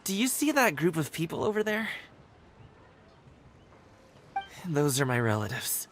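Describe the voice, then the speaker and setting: Breezy, talkative young guide, lively pitch. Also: female voice, a little fast, slight background noise. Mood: worried. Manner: breezy, talkative, folksy casual phrasing. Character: chatty, easygoing young local guide, awkward around family. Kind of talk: video game character dialogue.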